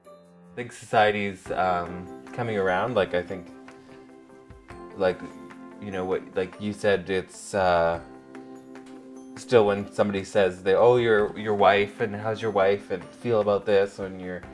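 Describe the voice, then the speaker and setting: A man's voice: on the low side, steady tone, unhurried, faint music behind. A man telling things informally in an interview, in an anecdotal, conversational way. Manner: informal, acts out quoted remarks. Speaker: interviewee in a documentary.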